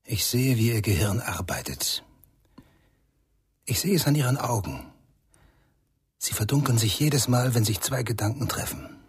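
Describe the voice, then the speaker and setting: Husky, enigmatic African man. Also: medium pitch, expressive, unhurried, pauses often, dry recording, full character voice. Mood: calm, knowing. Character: tall gaunt African man, calm, enigmatic, faintly mocking. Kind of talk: German radio crime drama.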